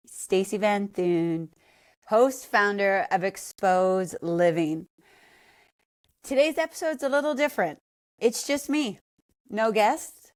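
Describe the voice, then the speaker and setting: A woman's voice: mid-range voice, darkish tone. A middle-aged woman from Canada, hosting an unscripted solo podcast in a conversational way that drifts into tangents and corrects itself. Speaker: podcast host.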